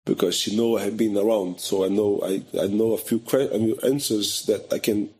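A middle-aged man from Brazil with a Brazilian Portuguese accent and Portuguese as his first language, telling stories animatedly as an interview guest in halting second-language English and acting out the voices he quotes. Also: on the low side, steady tone, darkish tone, husky. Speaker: guest, photographer. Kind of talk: casual conversational interview.

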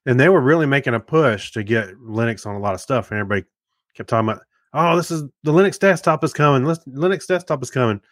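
A man's voice: mid-range voice, lively intonation, fast, dry recording, clean studio sound. A man co-hosting a casual tech podcast in an easygoing way, laughing often. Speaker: podcast co-host.

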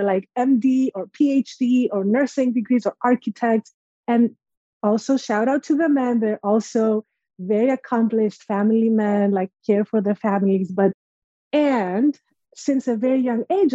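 A woman's voice: medium pitch, dark tone, dry recording, dull audio. A young woman from Greece telling a personal story as a podcast guest, earnest and reflective. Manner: earnest, reflective, acts out quoted voices.

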